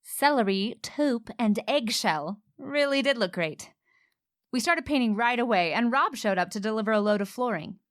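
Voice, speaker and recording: A woman's voice: on the high side, animated, normal speed, natural voice. A woman acting a headstrong single homeowner in a romantic comedy radio play, both in scenes and as the wry, playful narrator. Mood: wry narrating. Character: headstrong single woman renovating her inherited house, narrating her story.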